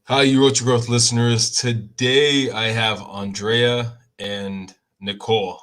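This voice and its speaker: A man's voice: medium pitch, flat delivery, slow, a bit bright. A man hosting a podcast, joking and rambling with many restarts as he sets up questions. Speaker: podcast host. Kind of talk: casual interview chat.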